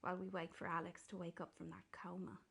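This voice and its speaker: A woman's voice: on the low side, little pitch movement, fast talker, gravelly, fairly bright tone, slightly rough. A woman with an Irish accent chatting casually off air, loose and coaxing. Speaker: presenter off air. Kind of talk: casual banter.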